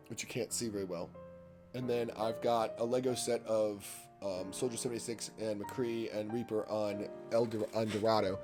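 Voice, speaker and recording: A congested man chatting casually and rambling with his live chat while he paints in his home art studio. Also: mid-range voice. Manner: rambling, chatty, many restarts and asides to chat. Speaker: painter and livestreamer.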